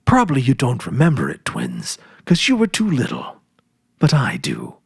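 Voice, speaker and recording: Man voicing a cocksure, husky-edged young farm boy. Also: medium pitch, huge pitch swings, normal speed, no room sound, studio quality, exaggerated voice. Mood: reassuring, excited. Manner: emphatic, earnest, know-it-all. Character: confident ten-year-old farm boy, eager and boastful.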